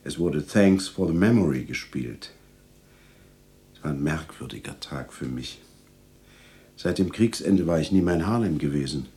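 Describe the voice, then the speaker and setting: An actor playing a haunted, brooding man who recalls a wartime childhood in a hushed, measured, introspective radio drama narration. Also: extremely low pitch, lively intonation, husky, exaggerated voice. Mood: brooding, reflective. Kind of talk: radio drama, reflective first-person narration with dialogue.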